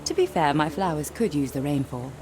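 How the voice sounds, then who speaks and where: An actress playing a polite, gently wry, reserved flower seller in a scripted game. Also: female voice, low voice, expressive, a little fast, over music.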